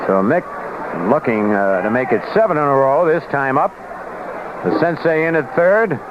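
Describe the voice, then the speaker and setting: A man's voice: fairly high pitch, animated, normal speed. An American man calling baseball play-by-play live on vintage radio. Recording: noisy, dull vintage AM radio broadcast.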